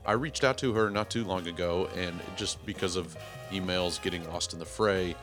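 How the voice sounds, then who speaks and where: A man in his forties hosting a podcast, giving a rambling, self-deprecating intro with emphatic, clearly enunciated speech. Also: deep voice, moderate pace, over music. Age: 40s. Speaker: podcast host. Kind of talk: casual podcast intro monologue.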